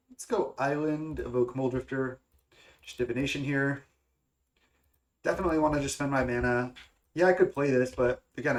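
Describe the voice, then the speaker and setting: A young man with a stuffy, congested voice, casually talking through his card game choices and thinking aloud with lots of fillers. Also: mid-range voice. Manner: thinking aloud, hesitant with many fillers and restarts. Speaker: gaming content creator.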